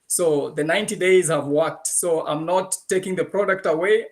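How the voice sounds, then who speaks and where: Gravelly Kenyan man, halting. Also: a little high. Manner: halting, many fillers, laughs often. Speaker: guest giving a testimonial. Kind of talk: casual personal story. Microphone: video call.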